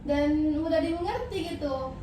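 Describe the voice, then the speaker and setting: Young female student, high and talkative, distant mic with background noise. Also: a little slow, mellow. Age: young adult. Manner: chatty, rambling with kayak and gitu fillers. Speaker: student panelist. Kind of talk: casual discussion. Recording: echoey room, distant mic, noisy.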